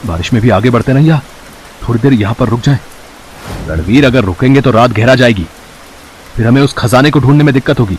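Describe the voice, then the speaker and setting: A man voicing an anxious, excitable young man who talks casually and dramatically to his friend in an audio story. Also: mid-range voice, fast, pauses often, a little hiss or hum, lightly acted. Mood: uncertain. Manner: colloquial, worried, dramatic.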